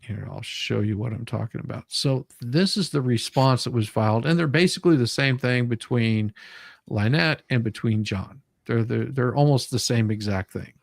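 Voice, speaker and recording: A man's voice: medium pitch, moderate pace, vocal fry, no echo. Man explaining and reading documents, blunt, dry sound. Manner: blunt, mildly profane, explanatory. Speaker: online show host. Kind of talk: casual live commentary, reading documents aloud.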